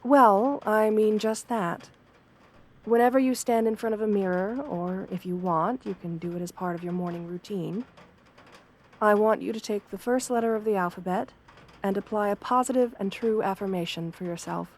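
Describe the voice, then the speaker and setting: A kind wolf girl therapist, acted by a woman for an ASMR roleplay. She speaks softly at a medium pitch. Her manner is gentle and professional, measured and reassuring, like a patient counsellor. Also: natural voice. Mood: encouraging, instructive. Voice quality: soft-spoken. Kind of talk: soft-spoken ASMR roleplay.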